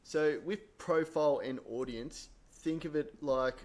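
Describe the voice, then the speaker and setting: A man presenting a software tutorial in an informal, explanatory way, thinking aloud as he works through each step. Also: fairly high pitch, unhurried. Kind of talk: casual screen-share software tutorial.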